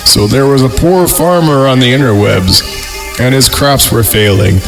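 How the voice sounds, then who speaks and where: A man voicing an ominous grandpa telling a bedtime story in a gravelly voice, in a comedy podcast. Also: medium pitch, moderate pace, lightly acted. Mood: mock ominous. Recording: music bed behind the voice.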